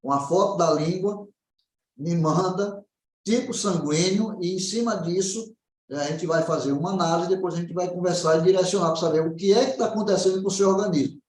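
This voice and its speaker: A man's voice: sits high, a little fast, darkish tone. A Brazilian man speaking as a naturopath, explaining informally and often checking that the listener follows. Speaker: naturopath answering questions. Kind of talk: informal health consultation. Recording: roomy echo.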